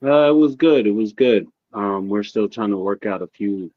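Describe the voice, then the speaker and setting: Laid-back man co-host, lowish voice, dull dry home recording, soft-spoken. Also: normal speed, dry recording. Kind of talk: casual chat.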